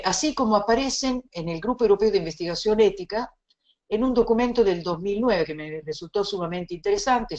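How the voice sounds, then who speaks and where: A middle-aged woman from Italy with an Italian accent, giving a recorded lecture in a measured, reflective way with pauses and restarts. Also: lowish voice. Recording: slightly dull lo-fi sound.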